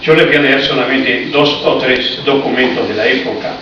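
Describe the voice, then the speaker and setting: A man's voice: medium pitch, steady tone, moderate pace, far from the mic. A man from Argentina with an Argentine Spanish accent, giving a history lecture in a reflective, explanatory way with ironic asides. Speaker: speaker giving a public talk. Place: cultural hall in Paris. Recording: echoey, muffled, noisy room recording from afar.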